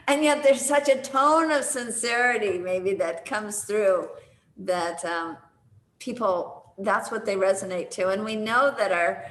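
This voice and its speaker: A woman sharing her thoughts in a conversation circle, measured and reflective. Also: mid-range voice, fairly bright tone. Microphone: video call. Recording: roomy video call audio.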